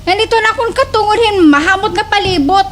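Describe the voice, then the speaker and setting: A woman's voice: very high voice, brisk, fairly bright tone. A woman playing a sassy know-it-all daughter in a slapstick radio comedy, mock-formal and preachy, with a Waray accent. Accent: Waray, Eastern Visayas Philippines. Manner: mock-formal, mixing in English.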